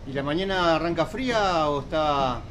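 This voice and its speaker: A man, an interviewer asking friendly, steady questions. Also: on the high side, moderate pace. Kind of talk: casual interview. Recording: echoey room with background noise.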